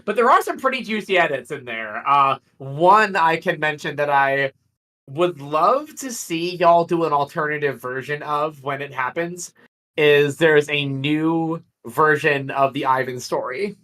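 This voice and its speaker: A man's voice: on the high side, unhurried, bright, forceful tone. A man chatting casually on a livestream, enthusiastic and rambling as he talks about creative work as a guest.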